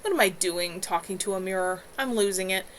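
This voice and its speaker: A woman reading a novel aloud, voicing an anxious, unsure teenage boy who asks halting questions. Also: medium pitch, a little hiss or hum, close to an everyday voice, low-quality recording. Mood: uneasy.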